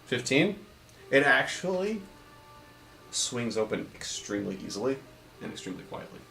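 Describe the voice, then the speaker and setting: A man's voice: medium pitch, very animated, moderate pace, creaky, sharp tone, soft background music. A man acting as game master at a home tabletop game, narrating scenes and answering his players. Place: indoor room, around a home game table. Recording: roomy, distant mic, faint noise.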